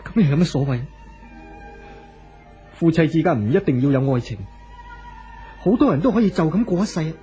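An actor playing an anxious, devoted husband in a 1970s Hong Kong Cantonese radio drama, earnest and coaxing. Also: male voice, on the high side, animated, normal speed, pauses often, warm tone, natural voice. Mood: hurt, protesting. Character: anxious, pleading, devoted wealthy young husband. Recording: dull vintage radio recording with music.

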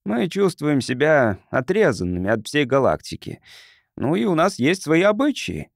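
Guy doing a stiff proud councillor in an audiobook, formal explainer, pitch moves around a lot. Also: huge pitch swings, moderate pace, vocal fry, no echo, clean studio sound, heavily acted. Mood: stiff, earnest. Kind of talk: audiobook character reading.

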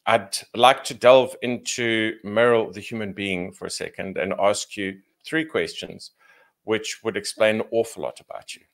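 A man hosting a podcast interview, asking measured questions in an appreciative way. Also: lowish voice, steady tone, creaky. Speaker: podcast host.

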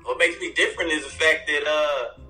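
A man's voice: fairly high pitch, brisk, pushed and bright, soft background music, rough recording. A man in his thirties on a casual video call, answering questions emphatically and stammering when puzzled. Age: 30s. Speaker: stand-up comedian being prank called. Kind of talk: casual phone interview. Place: plain room, on a video call. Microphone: phone on a video call.

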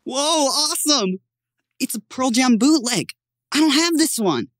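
A woman's voice: on the low side, big pitch swings, moderate pace, no echo, studio quality. A woman playing an earnest, literal-minded, matter-of-fact young boy in an audiobook. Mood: excited. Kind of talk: full-cast audiobook acting.

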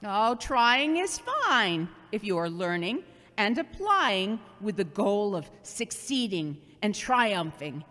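A woman playing a loving, gently scolding seventy-year-old mother in an audio drama, earnest and halting. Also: mid-range voice, very animated. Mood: earnest, admonishing. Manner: earnest, sermonizing, halting delivery. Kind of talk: amateur audio drama acting. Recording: long hollow reverb.

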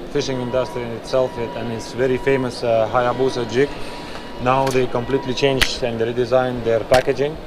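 A man in his thirties walks a big indoor expo hall and talks into a chest-mounted camera, his mid-pitched voice full of "uh"s and restarts. Steady air-conditioning hum and hall noise sit clearly under his voice. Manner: filler-heavy, frequent "uh" and restarts. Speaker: fishing channel host. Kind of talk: walkaround expo review. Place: large indoor exhibition hall. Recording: noisy expo hall ambience. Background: hall ambience and air conditioning hum.

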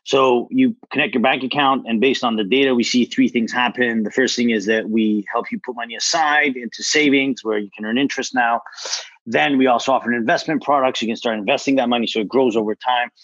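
A man's voice: mid-range voice, steady tone, brisk, fairly bright tone, no echo, muffled recording. A man in his thirties, a startup founder speaking on a video call, casual, repeating words and saying "like". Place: home kitchen indoors. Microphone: earbuds on a video call.

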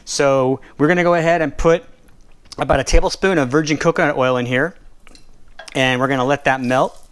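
Smooth-voiced man demonstrating a recipe. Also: mid-range voice, brisk. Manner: relaxed, explanatory, with fillers and self-corrections. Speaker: cooking and nutrition instructor. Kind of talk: casual cooking demonstration. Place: home kitchen at a gas stove, seen from overhead.

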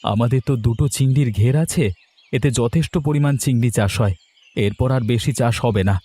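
A man's voice: mid-range voice, a little fast, warm tone, lightly acted. A man voicing a worried, weary village husband and shrimp farmer in a fairy-tale cartoon.

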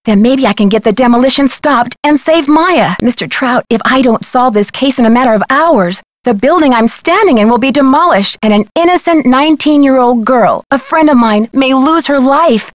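A woman's voice: high voice, expressive, normal speed, few pauses, dry recording. An actress voicing an earnest, polite young female detective in a video game, with a courteous, pleading manner. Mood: urgent, pleading.

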